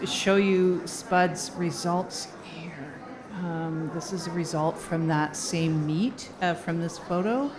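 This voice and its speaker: A woman's voice: on the low side, takes it slowly, noisy background. A woman playing a proud dog-sport hobbyist who rambles hesitantly and obliviously in an improvised comedy audio drama. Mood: proud rambling.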